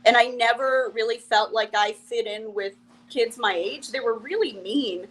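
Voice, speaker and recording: A young woman from Ukraine with a Russian accent, a comedian chatting as a guest, wry and quick to laugh. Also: fairly high pitch, poor audio. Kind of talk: casual interview chat.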